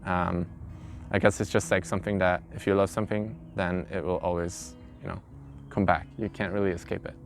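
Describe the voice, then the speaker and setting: A man's voice: deep voice, little pitch movement, a little fast, soft background music. A man, an English speaker, telling his own story informally in an interview. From Belgium. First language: not English. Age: early twenties. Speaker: interviewee, carpenter. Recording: clear background noise, ambient sound.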